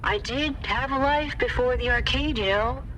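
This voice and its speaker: A woman voicing a dry, knowledgeable, self-possessed tech expert in a video game, measured and gently teasing. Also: high-pitched, a little hiss or hum, rough recording. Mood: matter-of-fact.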